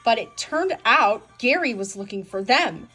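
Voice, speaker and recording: A woman reads a children's story aloud in a high voice that swings widely in pitch. Her delivery is expressive storytelling, and music plays behind her. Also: unhurried, breathy edge, rough recording. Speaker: storybook reader. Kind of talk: children's read-aloud narration.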